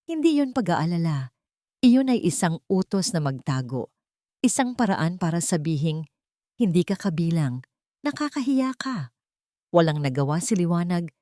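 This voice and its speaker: A woman narrates a dramatic story in a fairly low voice. Her delivery swings widely in pitch from phrase to phrase, and the sound is close and dry. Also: big pitch swings, normal speed, dark tone, no room sound.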